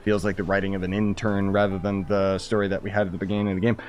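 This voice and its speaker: A man's voice: low voice, level tone, rapid-fire, darkish tone. A man giving casual, slangy live game commentary as a streamer, opinionated and rambling. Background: faint game music.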